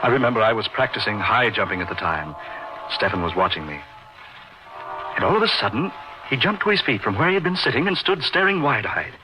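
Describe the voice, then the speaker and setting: An actor playing a haunted, intense man recounting his strange past in an old radio horror drama, with florid theatrical delivery and dramatic pauses. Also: medium pitch, animated, close to an everyday voice. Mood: rising terror. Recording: dull old radio recording with music behind. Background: dramatic music.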